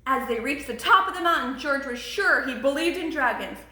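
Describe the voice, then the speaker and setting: Woman, storybook narration, expressive, very lively intonation, echoey room. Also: high-pitched, brisk. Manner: expressive, emphatic.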